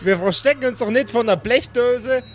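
A man's voice: extremely high pitch, moderate pace, a little hiss or hum. A man playing a grumpy, stubborn, abusive woman who rants and hurls insults in an improvised audio play. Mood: belligerent. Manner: ranting, insulting, belligerent.

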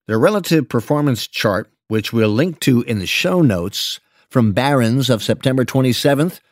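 A man narrating a written financial piece aloud in a measured, articulate and deliberate way. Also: mid-range voice, dry recording, clean studio sound. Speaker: professional narrator reading an investment memo. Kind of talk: scripted narration, read aloud.

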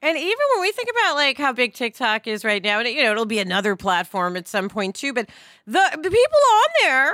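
A woman talking casually as a podcast host, animated and conversational, often checking that others agree. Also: on the high side, fairly quick, dry recording, clean studio sound.

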